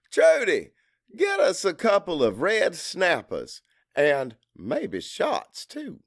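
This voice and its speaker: An actor playing a crude, hot-tempered Cajun father who narrates his own story in a gruff, expressive audiobook style. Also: medium pitch, no echo, clean studio sound, exaggerated voice. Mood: boisterous. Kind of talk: first-person dramatic audiobook narration.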